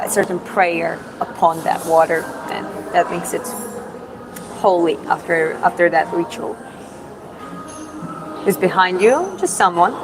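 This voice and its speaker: A woman from Georgia, hosting a casual livestream Q&A and answering chat in an unhurried, thoughtful way with many fillers and restarts. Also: on the low side, rough recording. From Georgia (country). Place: public spot in Da Nang with other people around.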